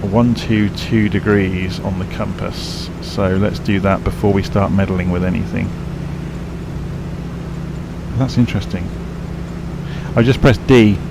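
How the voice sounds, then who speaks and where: A man streaming a flight simulator, explaining cockpit instruments in a rambling, self-correcting way, his voice croaky and tired from a late night. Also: sits low, fairly even intonation, unhurried, pauses often, heavy background noise. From England. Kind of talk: casual live-stream commentary.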